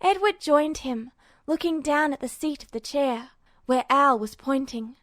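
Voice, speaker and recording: A woman's voice: high voice, a little slow, fairly bright tone, no echo, studio quality. A woman reading scripted fiction aloud as a narrator. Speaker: amateur audiobook narrator.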